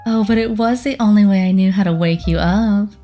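A woman's voice: medium pitch, fairly quick, mellow, close to an everyday voice. A woman voicing a sassy, vain succubus popstar in a cartoon comic dub, with a sultry, sardonic drawl. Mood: wry. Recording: music behind the voice.

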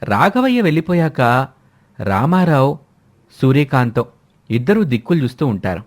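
A man's voice: medium pitch, sing-song, moderate pace. A man narrating a scripted family story as a storyteller.

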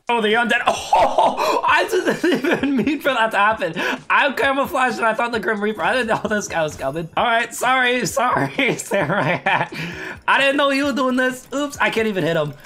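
Young male gamer, hoarse and cracking, lively bright delivery, constant laughter. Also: brisk, slight background noise. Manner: hyped, laughing, voice cracking up high. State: hoarse, losing his voice. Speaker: gaming streamer. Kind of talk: excited gameplay commentary. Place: home gaming setup, indoors.

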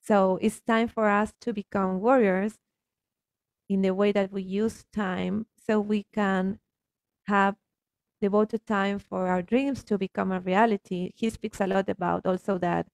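Casting: Argentine-accented woman, native Spanish speaker, earnest coaching talk, mid pitch, gravelly fry, dry close sound. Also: fairly even intonation, unhurried, a little mellow, no room sound. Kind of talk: reflective coaching conversation.

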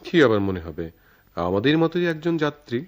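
An actor playing an idealistic young writer in love in a radio drama, poetic and earnest, with a Bangladeshi accent. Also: male voice, medium pitch, big pitch swings, fairly quick, natural voice. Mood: earnest, defiant. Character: romantic, idealistic young male writer, devoted eloping lover. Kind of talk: theatrical radio drama acting.

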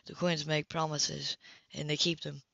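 Audiobook role: blunt, challenging man read by a woman, sardonic and guarded, lowered pitch. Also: flat delivery, moderate pace, creaky, bright, forceful tone, no room sound, muffled recording, exaggerated voice. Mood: hard, warning. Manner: terse, challenging.

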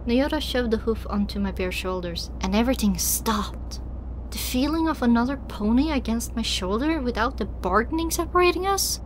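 A woman reading scripted first-person fiction as an audiobook narrator, in an expressive, dramatic way. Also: fairly high pitch, normal speed, slight background noise, rough recording.

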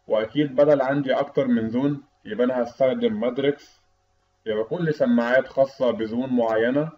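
A man with an Egyptian Arabic accent giving an explanatory technical lecture, teaching didactically as an instructor. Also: mid-range voice. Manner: didactic, asks and answers own questions. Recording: dull, lo-fi voice-over recording.

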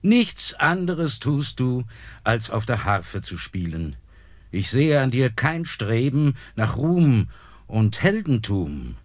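A man's voice: mid-range voice, sing-song, slow, no room sound. A worried old king. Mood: grave worry. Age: older.

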